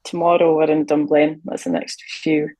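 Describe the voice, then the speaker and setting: A young Shetland woman sailor chatting about music in a relaxed interview on a video call from a hotel room, with plenty of ums and easy laughs. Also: low-pitched, moderate pace, dry recording, rough recording. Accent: Shetland Scottish. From Shetland, Scotland. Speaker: sailor and fiddler, podcast guest. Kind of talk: casual interview chat.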